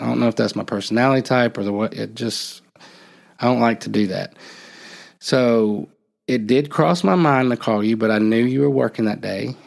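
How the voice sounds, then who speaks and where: A man telling a personal story on a podcast, halting and reflective, often trailing off. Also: mid-range voice, vocal fry, darkish tone. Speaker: podcast host.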